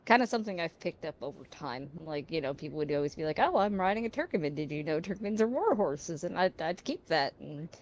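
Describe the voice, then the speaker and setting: A woman's voice: low voice, lively intonation, fast, few pauses, muffled recording. A woman chatting in character during a roleplay game, easygoing and chatty as she tells stories.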